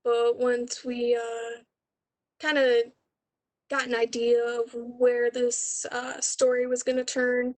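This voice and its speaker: A young woman with a fairly high voice answers questions about her own student production. Her intonation is extremely flat, and she explains things in an informal, conversational way. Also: slow pace. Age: young adult. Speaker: student director presenting a capstone project.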